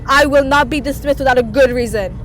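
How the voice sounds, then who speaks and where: A woman's voice: high-pitched, a little fast, lightly acted. A woman playing an earnest, defiant scientist who argues insistently with authority in a sci-fi audio drama. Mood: indignant, defiant. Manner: indignant, articulate, insistent.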